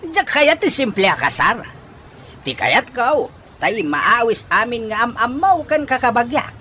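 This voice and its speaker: A man's voice: very high-pitched, big pitch swings, unhurried, bright, forceful tone, a little hiss or hum, full character voice. A man playing a giddy, boastful, lovestruck old father in a radio comedy drama, with exaggerated, giggly delivery.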